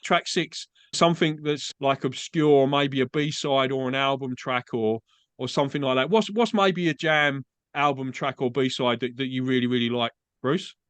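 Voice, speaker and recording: A man's voice: medium pitch, moderate pace, a bit bright, clean tone, no echo. A man from England with an Essex accent, hosting a podcast interview in a chatty, agreeable way, often saying "mate". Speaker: podcast host. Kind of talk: casual interview chat. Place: Australia.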